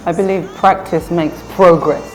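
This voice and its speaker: A woman from Uganda with a very low voice talks about her start in music. She speaks softly and stops often to find her words. Faint music plays under her. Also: steady tone, moderate pace. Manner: soft-spoken, hesitant, many fillers. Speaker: singer and pianist, one half of a duo. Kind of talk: relaxed interview talk.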